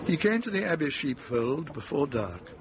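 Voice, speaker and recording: A man's voice: on the high side, big pitch swings, normal speed, very noisy. A man narrating a radio drama as a storyteller, reading scripted prose.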